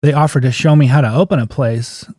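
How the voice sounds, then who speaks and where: Male, 50s, interview guest. Mid-pitched, mellow delivery, anecdotal and plain-spoken, casual storyteller. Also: fast talker, husky, no room sound, clean studio sound. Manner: anecdotal, acts out remembered dialogue, folksy plain-spoken phrasing. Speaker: guest, physical therapist and clinic owner.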